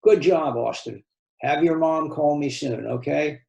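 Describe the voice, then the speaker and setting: A man voicing a caring, worried woman who gently reassures a close friend in a read-aloud story. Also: on the high side, measured pace, creaky. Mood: encouraging.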